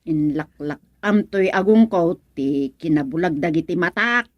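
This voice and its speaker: A woman acting an excitable, cheeky blind villager in a radio comedy drama, with a Filipino accent, full of exclamations and repeated words. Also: fairly low pitch, unhurried, dry recording, highs cut off. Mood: eager, respectful. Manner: exclaiming, stammering, repeating interjections.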